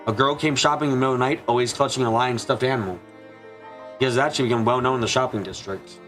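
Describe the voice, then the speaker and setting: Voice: mid-range voice, quick pace, a bit bright, soft background music. A narrator reading a story in a dramatic, scripted style.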